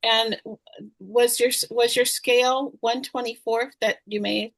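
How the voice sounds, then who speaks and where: A woman's voice: a little high, fairly even intonation, slow pace, creaky, fairly bright tone. A woman speaking informally in a group discussion, asking questions and commenting.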